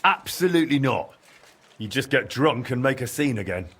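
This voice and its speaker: An actor playing a determined young blacksmith hero in a video game, coaxing and firm, with a husky voice. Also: male voice, mid-range voice, lively intonation, normal speed, fairly bright tone. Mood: teasing, reassuring. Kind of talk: video game drama acting.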